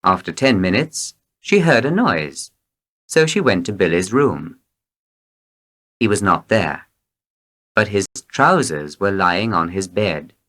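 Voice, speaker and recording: A man's voice: deep voice, sing-song, slow pace, pauses often, fairly bright tone. A man reading short tales as scripted narration, with a storyteller's delivery. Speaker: storybook reader.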